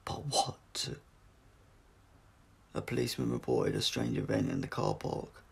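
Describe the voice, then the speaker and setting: A man's voice: low-pitched, wildly swooping pitch, a little fast, creaky, breathy edge. A man narrating an adventure audiobook from a script, with the occasional stumble as he reads aloud. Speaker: audiobook reader.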